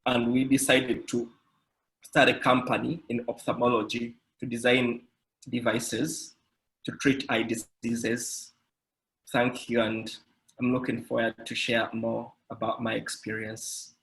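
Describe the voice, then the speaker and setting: Measured man from Uganda on a call. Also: medium pitch, level tone, creaky, fairly bright tone, rough recording. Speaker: scientist and startup founder, panelist. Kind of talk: self-introduction on an online panel.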